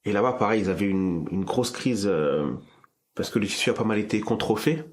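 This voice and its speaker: A man's voice: on the low side, expressive, a little fast. A man hosting a casual discussion, thinking aloud with frequent restarts and repeated words. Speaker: podcast host.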